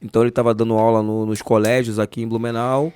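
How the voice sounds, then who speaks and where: A man in his forties from Rio de Janeiro with a softened carioca accent, a jiu-jitsu master telling his story as a podcast guest. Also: mid-range voice, flat delivery, smooth, no room sound. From Rio de Janeiro, Brazil. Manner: quick, warm storytelling. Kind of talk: interview storytelling.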